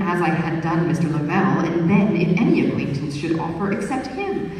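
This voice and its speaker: Woman reader at a book launch, expressive and measured, lively pitch, echoey hall, distant mic. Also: a little hiss or hum. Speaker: author reading her book. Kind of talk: reading a period novel aloud at a book launch.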